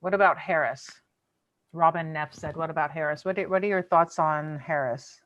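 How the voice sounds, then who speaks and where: A woman's voice: low voice, normal speed, a bit bright. A woman with an American accent hosting a casual live online chat, teasing and playful as she interviews. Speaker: host of a live online show. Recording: dull, lo-fi online call audio.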